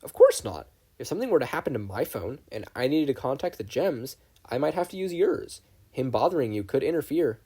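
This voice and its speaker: A man voicing a firm, protective young male character with a formal, deadpan manner in a story reading. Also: medium pitch, a little fast, breathy edge, pitched above the performer's own voice. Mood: matter-of-fact.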